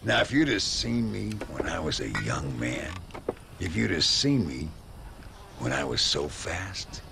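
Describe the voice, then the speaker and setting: An actor playing a boastful, rambling old gunslinger with a Western American accent and a theatrical drawl, in a video game cutscene. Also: male voice, extremely low pitch, very animated, noisy background, heavily acted. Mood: boastful, wistful. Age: older. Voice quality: gravelly, drawling. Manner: theatrical, halting, self-mythologizing.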